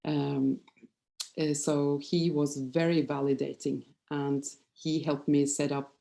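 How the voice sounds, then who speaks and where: A Norwegian-accented woman in her fifties speaks with a low, soft-edged, mellow voice. She presents like a psychologist sharing personal experience at an informal talk. Her delivery is candid and hesitant, halting as she finds her words. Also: level tone, creaky. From Norway. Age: 50s.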